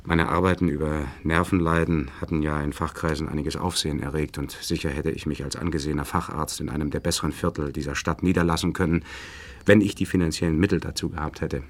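Deep-voiced nervous young doctor. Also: male voice, fairly even intonation, fast. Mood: earnest, recounting. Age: 30s. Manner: formal, hesitant, courteous. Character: nervous, polite young doctor of about thirty. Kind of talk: radio play acting.

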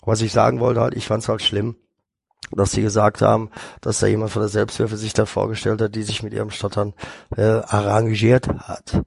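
A man's voice: lowish voice, completely flat delivery, normal speed, vocal fry, a little mellow, breathy edge, no room sound, studio quality. A man talking as a stuttering therapist in a casual podcast chat, rambling, with many fillers and restarts.

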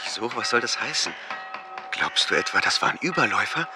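A man's voice: on the low side, sing-song, brisk, over music. An actor playing a plain-spoken, dismissive male soldier in a radio play, talking in a blunt, colloquial way. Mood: incredulous. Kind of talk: German radio play acting.

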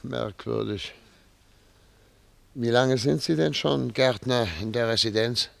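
A man's voice: medium pitch, slow, creaky, a bit bright, dry recording, exaggerated voice. A man playing a troubled, grave statesman in a German radio drama, formal and brooding in his speech. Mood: puzzled, probing. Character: troubled, grave male statesman addressed as Excellency.

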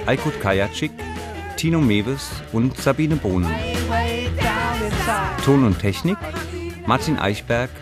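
A man's voice: medium pitch, expressive, slow pace, pauses often. A man reading scripted radio drama credits as an announcer. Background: loud guitar music.

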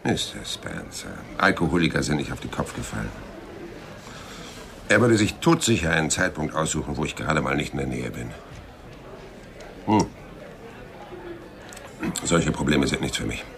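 An actor playing a world-weary, wry private detective in a noir radio play, with a laconic, dry, gravelly delivery. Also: male voice, very deep voice, lively intonation, moderate pace, pauses often, fairly bright tone, full character voice. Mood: dry, skeptical. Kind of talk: hardboiled noir radio drama acting and narration. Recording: studio radio play with music underneath.

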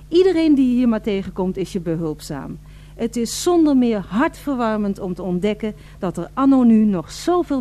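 A woman's voice: mid-range voice, warm tone, lightly acted. An actress playing an eager, polite female radio reporter in a radio drama, with formal, florid and emphatic delivery.

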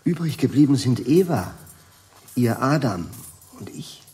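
A mild, slow-spoken scientist professor. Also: male voice, medium pitch, very animated, darkish tone. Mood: subdued. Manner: hesitant, explanatory, measured. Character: Jefrosimov, mild, nervous, absent-minded scientist professor. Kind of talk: German radio play acting.

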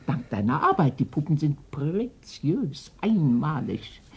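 A woman's voice: low-pitched, very animated, takes it slowly, creaky, breathy edge, muffled recording, heavily acted. An actress playing a domineering, eccentric old woman in her eighties, vengeful and wistful, coaxing and commanding theatrically in a German radio play. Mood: coaxing, grandiose. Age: older.

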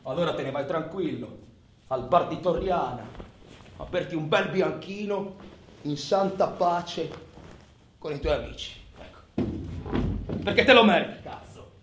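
An actor playing a bitter, boastful bank robber and failed mechanic in a radio drama monologue, with a sardonic, volatile delivery. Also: male voice, a little high, a little slow, long pauses, a bit bright. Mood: bitter brooding. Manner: volatile, sardonic, colloquial, swinging from muttering to outbursts. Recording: roomy, echoing sound with faint noise.

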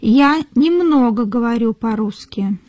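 Slow, careful woman reading model sentences. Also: a little high, animated, darkish tone, no room sound. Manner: careful, deliberate, repeats each phrase. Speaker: native-speaker model reader.

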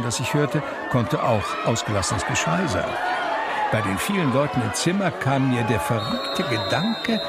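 An actor playing a blind, reflective man who narrates his life in a radio drama, deliberate and expressive in his telling. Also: fairly high pitch. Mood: tense recounting.

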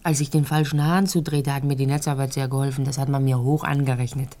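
A woman's voice: rumbling low, little pitch movement, fast talker. An actress in a radio drama playing a talkative, naive and frank young Ukrainian-born woman who tells her life in a rambling, conversational way. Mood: matter-of-fact, candid. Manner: rambling storytelling, occasional hushed phrases.

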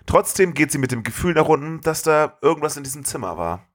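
A man's voice: a little high, big pitch swings, quick pace. A man hosting a podcast, retelling a story in a casual comedic style. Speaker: podcast host.

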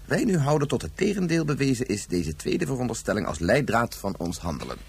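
A man's voice: medium pitch, big pitch swings, fairly quick, gravelly, breathy edge, heavily acted. A man playing a stern, formal, rational scientist in a radio play, speaking precisely and insistently. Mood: coldly formal.

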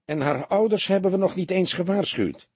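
A man's voice: sits high, moderate pace, a little mellow, breathy edge, dry recording, pitched above the performer's own voice. A man voicing an earnest junior detective with a questioning manner, in an audiobook. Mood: astonished, uneasy. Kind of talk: audiobook character voice.

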